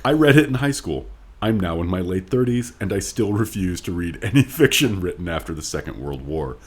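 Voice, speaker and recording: A man co-hosting a casual podcast, bantering and reading listener mail in a wry, joking, halting way. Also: deep voice, lively intonation, husky. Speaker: podcast co-host.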